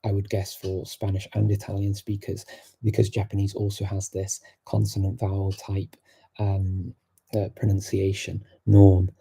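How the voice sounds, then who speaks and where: A middle-aged man hosting a live-stream Q&A, answering viewer questions in a thoughtful, unhurried way full of fillers and restarts. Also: low-pitched, completely flat delivery, vocal fry, mellow, husky. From England.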